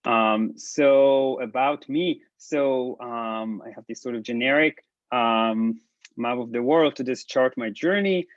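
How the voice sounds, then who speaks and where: A man from Israel with an Israeli accent, giving a hesitant, halting talk full of restarts on a video call. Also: mid-range voice, no room sound. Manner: halting, many restarts and ums. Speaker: presenter. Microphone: laptop mic on video call. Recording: dull lo-fi video call audio.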